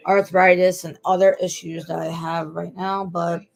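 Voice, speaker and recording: A woman's voice: lowish voice, little pitch movement, normal speed, gravelly. A woman in her late twenties talking casually to the camera in a personal vlog, rambling, repeating herself and restarting her sentences. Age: 20s. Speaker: vlogger.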